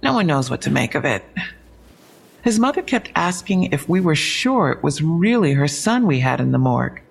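An actress playing a frank, inquisitive police investigator in an audio drama, matter-of-fact and wry, with a husky, creaky voice. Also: female voice, low-pitched, sing-song, moderate pace, exaggerated voice. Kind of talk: full-cast audio drama dialogue.

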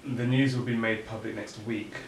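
A man's voice: on the low side, steady tone, normal speed, close to an everyday voice. An actor playing a dishevelled agency man bearing bad news in an audio drama. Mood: awkward, grave. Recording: echoey, distant, noisy room.

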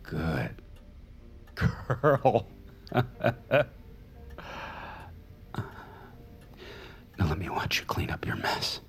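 A very deep, creaky man's voice plays a sinister, controlling killer mentor in an audio drama. He swings widely in pitch as he coaxes with menace, over music. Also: huge pitch swings, very slow, exaggerated voice. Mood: coaxing, menacing.

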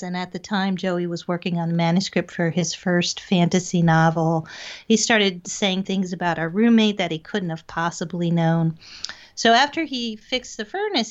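Woman storyteller on dull lo-fi audio. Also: medium pitch. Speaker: guest, paranormal investigator. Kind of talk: conversational storytelling interview.

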